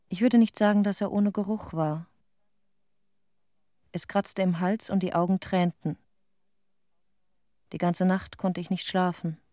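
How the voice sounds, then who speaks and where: Actress as grieving mother giving testimony, medium pitch, intimate dry radio drama, plain reflective delivery. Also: a little mellow, no room sound, natural voice. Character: sorrowful woman, a mother bearing witness to disaster. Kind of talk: radio play monologue as witness testimony.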